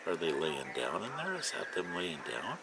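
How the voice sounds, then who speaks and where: Low-pitched man rambling casually over background noise. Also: wildly swooping pitch, normal speed, vocal fry. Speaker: amateur vlogger and ham radio hobbyist. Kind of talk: casual rambling vlog commentary.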